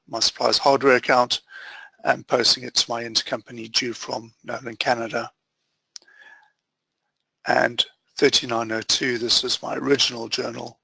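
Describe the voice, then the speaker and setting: A man with a bright, forceful voice and a gravelly edge leads a software walkthrough. He explains each step methodically in short phrases, like a trainer on a screen-share. The sound is close and dry. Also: medium pitch, no echo, rough recording. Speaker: software trainer presenting a webinar demo.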